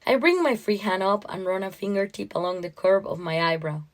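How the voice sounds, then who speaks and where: A woman narrator reading a first-person literary short story in an expressive, dramatic way. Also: medium pitch, fairly quick.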